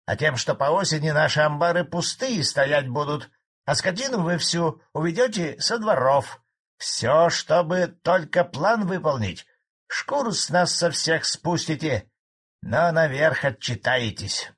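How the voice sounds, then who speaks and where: A man's voice: on the high side, animated, unhurried, a bit bright, pitched above the performer's own voice, heavily acted. Man putting on a cranky old farmer. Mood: grumbling. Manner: gruff, defiant ranting. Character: grumpy old male collective farmer, spiteful.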